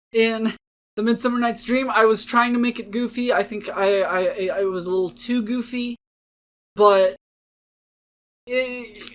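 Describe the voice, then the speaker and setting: A woman's voice: medium pitch, a bit bright. A woman giving a casual, rambling, self-deprecating outro as the host of a dramatic-reading channel.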